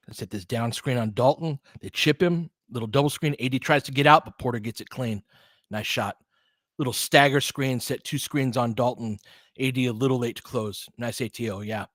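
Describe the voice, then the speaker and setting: A man's voice: mid-range voice, normal speed, a bit bright, no echo, studio quality. An American man giving casual, conversational play-by-play commentary as a stream host, analytical and explanatory in the way he talks.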